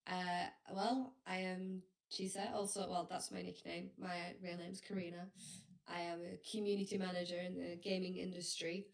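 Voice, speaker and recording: A woman's voice: fairly low pitch, little pitch movement, normal speed, gravelly, low-quality recording. A woman from Norway, guest co-hosting a casual podcast, laughing often and easygoing. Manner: giggly, easygoing. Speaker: guest co-host. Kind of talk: casual chat.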